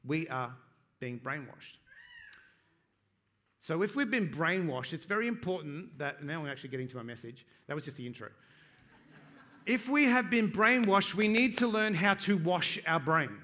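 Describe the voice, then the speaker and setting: A man's voice: a little high, expressive. Emphatic preaching man, lightly raised voice. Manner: swings between loud emphatic declarations and quiet asides; slows down to stress key phrases. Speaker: pastor. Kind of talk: sermon.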